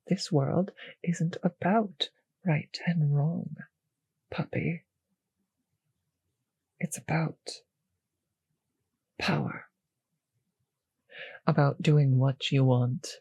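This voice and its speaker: An actress playing a possessive, condescending vampire mistress in an intimate roleplay audio drama, speaking in a breathy, husky, patronizing, savouring way. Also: female voice, deep voice, dark tone, no echo, lo-fi sound, full character voice.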